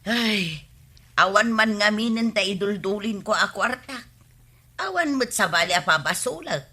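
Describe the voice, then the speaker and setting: A woman's voice: medium pitch, expressive, a little slow, bright, forceful tone. A woman playing a long-suffering village wife in an Ilocano comedy radio drama, plaintive and pleading.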